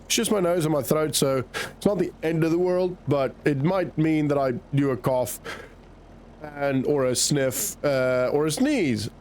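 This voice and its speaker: A man with a cold talks casually through a farming game, his voice croaky and a little nasal. He sits fairly high for a man and thinks aloud as he plays. A faint background hiss sits under the voice. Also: measured pace, darkish tone. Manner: chatty, thinks aloud while playing. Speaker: gaming channel host. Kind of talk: casual gameplay commentary.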